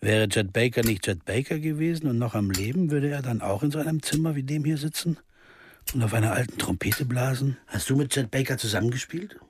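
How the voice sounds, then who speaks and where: An actor playing a sober, observant pianist sleuth, telling a radio crime story in an understated, deliberate way. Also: male voice, medium pitch, a little hiss or hum, close to an everyday voice. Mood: probing. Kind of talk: first-person radio crime narration and dialogue.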